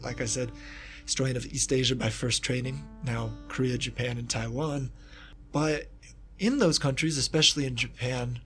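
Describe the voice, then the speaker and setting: Kentucky man as interview guest, mid pitch, thoughtful and rambling, faint music behind. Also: fairly bright tone. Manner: thoughtful, rambling with asides and restarts. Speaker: guest researcher, historian of East Asia. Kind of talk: casual reflective interview talk.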